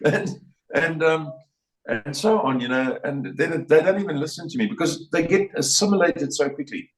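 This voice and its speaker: A man's voice: on the high side, moderate pace, far from the mic. An older man with a South African accent, giving a blunt, rambling interview answer with many repeats and restarts. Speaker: interview guest. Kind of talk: opinionated interview talk. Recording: roomy sound, voice a bit off-mic.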